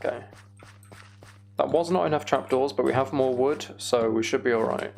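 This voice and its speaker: A man's voice: mid-range voice, normal speed, vocal fry, a little mellow, faint music behind. A British man chatting casually on a livestream while he plays a game, rambling and thinking aloud. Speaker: streamer.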